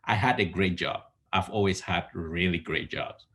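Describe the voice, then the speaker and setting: A man's voice: deep voice, moderate pace, fairly bright tone, slight background noise. A man in his thirties from Cameroon, giving earnest, rambling advice on a home video call and restarting his sentences often. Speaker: entrepreneur guest. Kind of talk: casual video call interview. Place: home living room, indoors. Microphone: laptop webcam on a video call.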